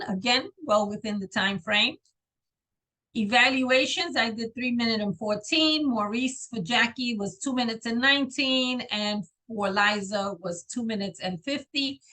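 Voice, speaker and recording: Female meeting timer, bright tone, slightly high, brisk readout. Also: low-quality recording. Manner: brisk, reads off figures. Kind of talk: reading a timer's report.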